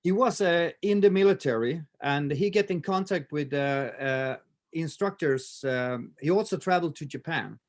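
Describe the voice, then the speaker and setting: A man in his fifties with a Swedish accent, talking in a casual interview with many pauses, fillers and restarts. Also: a little high. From Sweden. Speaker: martial arts instructor, guest.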